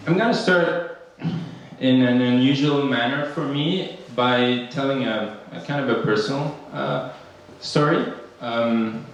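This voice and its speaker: A man's voice: mid-range voice, slight background noise. A man from Ecuador giving a conference talk, hesitant with many fillers and restarts. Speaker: academic panel speaker. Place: conference room. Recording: echoey hall, speaker far from mic.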